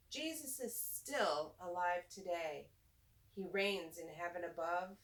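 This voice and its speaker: A woman hosts a storytime for young children, reading rhyming verse at an unhurried pace. Her delivery is deliberate and teacher-like, with a medium-pitched voice. She sounds slightly distant from the microphone. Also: a bit bright. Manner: slow, deliberate, teacher-like address to young listeners. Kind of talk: read-aloud rhyming children's storybook with simple friendly asides.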